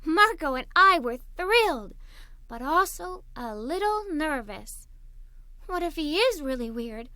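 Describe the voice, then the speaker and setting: Female children's narrator: bright, high, very expressive scripted read-aloud. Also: unhurried, no room sound. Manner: animated, expressive.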